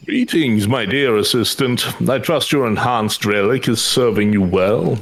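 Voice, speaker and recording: Man as a pompous scholarly mentor, gravelly edge. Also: mid-range voice, close to an everyday voice. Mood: composed, instructive. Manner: measured, pompous, archaic formal phrasing. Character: learned, courteous scholar mentor instructing his assistant. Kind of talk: fan read-aloud of fantasy game dialogue.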